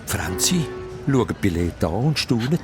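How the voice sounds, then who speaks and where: A man with a Swiss German accent narrating a children's radio play in an animated storytelling style. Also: lowish voice, a little slow. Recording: music behind the voice.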